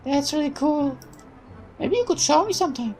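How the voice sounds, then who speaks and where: Brash young woman mechanic, high, exclaiming delivery, voiced by a female reader. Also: animated, normal speed, a little mellow, soft background music. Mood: curious. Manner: exclaiming, indignant, high-pitched. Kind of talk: reading visual novel dialogue aloud.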